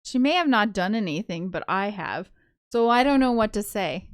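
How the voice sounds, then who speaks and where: A woman's voice: mid-range voice, lively intonation, normal speed, clean tone, no room sound, studio quality. A woman reading a visual novel aloud, slipping into casual, chatty commentary with giggly asides. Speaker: co-host of a gaming show.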